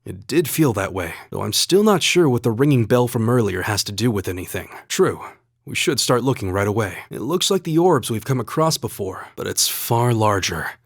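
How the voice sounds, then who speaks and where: A man voicing a calm, courteous and earnest young male student fighter in a video game, speaking in a measured, polite way. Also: lowish voice, no echo, clean studio sound. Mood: thoughtful, polite. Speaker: video game character voice actor.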